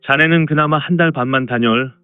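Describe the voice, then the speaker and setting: A man's voice: a little high, fast, clean tone, no echo, phone-quality sound, natural voice. A man playing a good-natured, teasing caravan escort chief with bossy, old-fashioned speech in a martial arts audio drama. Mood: bossy, encouraging. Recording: dry, band-limited, music underneath.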